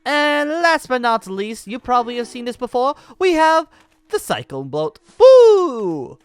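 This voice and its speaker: A man's voice: very high-pitched, very animated, measured pace, clean tone, no room sound, exaggerated voice. A man playing an exuberant, boastful dragon-slayer wizard in a Minecraft roleplay, speaking in a pompous, genteel and theatrical manner. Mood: gleeful, boastful. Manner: theatrical, flamboyant, cackling, pompous. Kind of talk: improvised Minecraft fantasy roleplay acting.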